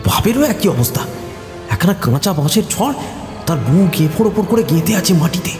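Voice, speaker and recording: Rustic young husband in audio horror drama, hesitant and folksy, very lively intonation, music underneath. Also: male voice, high-pitched. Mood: hushed, uneasy storytelling. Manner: hesitant, halting, folksy storytelling with fillers. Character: rustic young husband nervously recounting village ghost tales. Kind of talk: Bengali audio horror story acting. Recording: echoey reverb with music underneath.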